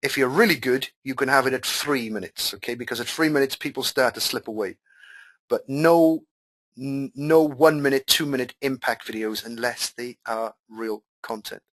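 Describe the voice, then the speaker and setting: A man's voice: mid-range voice, normal speed, a bit bright. A man whose first language is Welsh hosts a live webinar. He reads out students' questions and answers them in a chatty, repetitive way, over a computer mic. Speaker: webinar host and marketing coach.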